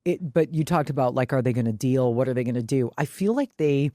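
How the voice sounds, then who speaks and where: A woman's voice: a bass voice, animated, brisk, warm tone, no echo, studio quality. A woman co-hosting a podcast in casual sports chat, opinionated and emphatic, swearing freely. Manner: freely profane. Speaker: podcast co-host.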